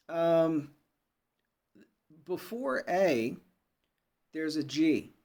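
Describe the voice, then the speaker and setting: A man walks through a music lesson in an easy, unscripted way. His voice sits a touch higher than most men's, though he calls it low himself. He pauses often and checks in with his listeners before he moves on. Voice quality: fairly low voice. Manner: relaxed and explanatory, with frequent "uh" and "okay?" check-ins. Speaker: music teacher.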